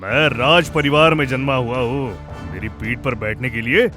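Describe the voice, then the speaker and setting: A man's voice: medium pitch, moderate pace, sharp tone, faint music behind, lightly acted. A man voicing a vain, haughty horse in a children's cartoon, talking pompously and scornfully. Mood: boastful. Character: vain, haughty male horse claiming royal blood.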